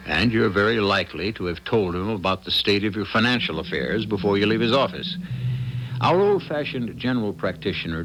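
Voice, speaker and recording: A man hosting a radio drama with scripted narration, measured, formal and portentous. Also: sits low, poor audio. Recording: music under the voice.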